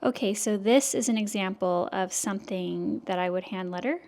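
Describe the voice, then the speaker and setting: A soft-spoken woman chatting casually to camera in her home art studio, talking through her work with lots of fillers. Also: medium pitch, moderate pace. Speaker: illustrator vlogger. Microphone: external microphone.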